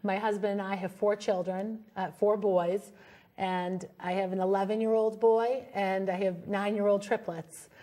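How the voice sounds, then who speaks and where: A woman's voice: mid-range voice, little pitch movement, moderate pace, warm tone. A woman in her forties answering interview questions as a city council candidate, speaking earnestly and fluently in an upbeat campaign manner. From United States.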